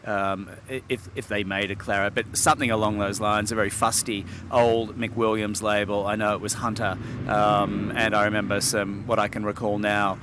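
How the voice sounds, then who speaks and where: Low-voiced middle-aged guy from sydney, wordy and articulate, lots of ums, faint hiss behind. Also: little pitch movement, moderate pace, hardly any pauses. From Sydney, Australia. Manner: articulate, wordy, with hesitant fillers and small laughs. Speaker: wine expert guest. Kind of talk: relaxed interview talk.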